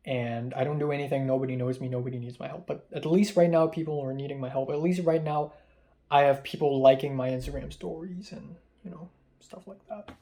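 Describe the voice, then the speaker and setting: Young Hong Kong English-accented male vlogger; raspy, muffled, mellow, a touch high; rambling, self-deprecating confessions. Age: young adult. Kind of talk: confessional vlog talk.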